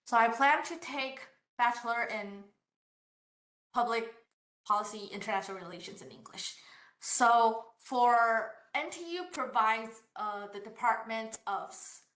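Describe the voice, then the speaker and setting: A woman's voice: a little high, a little slow, fairly bright tone. Woman admissions officer in echoey room. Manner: hesitant, frequent "uh" fillers and restarts; polite, explanatory. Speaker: university admissions staff answering questions. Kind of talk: online Q&A, reading questions aloud then answering. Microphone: online session over computer mic. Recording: roomy echo.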